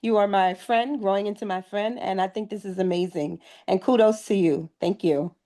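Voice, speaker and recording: A woman's voice: mid-range voice, moderate pace, dark tone. A woman on a video call praising her teammate, with hesitant fillers. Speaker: team member on the call.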